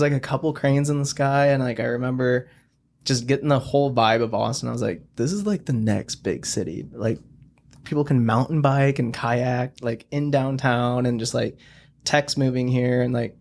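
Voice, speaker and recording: A young man guesting on a podcast, telling stories in a relaxed, rambling way and saying "like" a lot. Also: mid-range voice, normal speed. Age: young adult. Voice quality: gravelly, heavy use of "like". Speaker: podcast guest, studio founder. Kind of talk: casual conversation.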